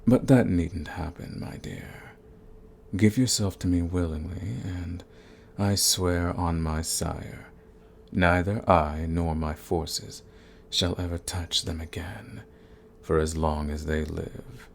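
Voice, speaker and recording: Casting: male actor as ancient vampire lord, very low gravelly voice, silky, dominant and sadistic, for ASMR roleplay with faint background noise. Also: fairly even intonation, full character voice. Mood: menacing, coaxing. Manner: menacing, silky, taunting, formal and deliberate. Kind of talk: ASMR roleplay audio drama.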